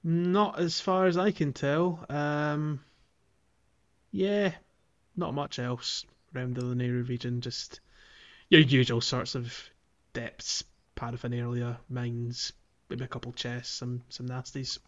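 A man's voice: medium pitch, fairly even intonation, slow. A man co-hosting a game podcast, easygoing and agreeable, chuckling as he talks. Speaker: podcast co-host. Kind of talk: casual chat about a video game.

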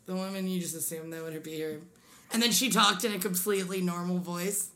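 A young woman from Pennsylvania with a low yet bright, forceful voice, chatting casually as a podcast co-host. She tells stories quickly and laughs often. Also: steady tone. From Pennsylvania, USA. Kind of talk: casual chat.

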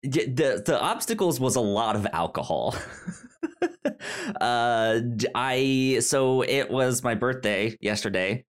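A man's voice: medium pitch, expressive, slow. A young man hosting a podcast, telling a story in casual chat and often stammering over repeated syllables and words. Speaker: podcast host.

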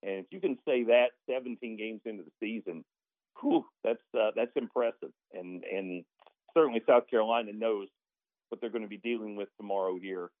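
A man's voice: on the low side, brisk, no room sound. Man on a tinny phone-like line. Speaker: sports radio play-by-play announcer, guest. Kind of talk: conversational basketball analysis.

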